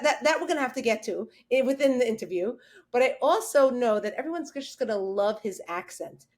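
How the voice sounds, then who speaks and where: Woman host, enthusiastic, higher voice, friendly interview talk. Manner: enthusiastic, laughs often. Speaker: podcast host. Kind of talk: interview hosting.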